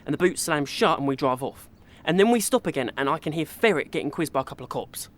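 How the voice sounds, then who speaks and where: An actor playing a cheeky, pedantic young son with a London accent in a comedy radio play, mostly deadpan. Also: male voice, a little high, quick pace. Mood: matter-of-fact.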